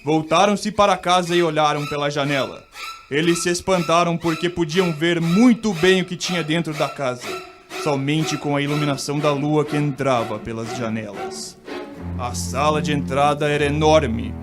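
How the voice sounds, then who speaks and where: A man's voice: on the high side, steady tone, normal speed. A man with a Brazilian accent giving dramatic scripted narration of a ghost story. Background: music.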